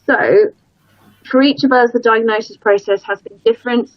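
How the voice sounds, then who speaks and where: A woman's voice: fairly high pitch, steady tone, moderate pace, no echo. A woman hosting a casual livestream panel over a video call, chatty and self-deprecating. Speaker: livestream host. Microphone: computer mic on a video call. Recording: dull, lo-fi.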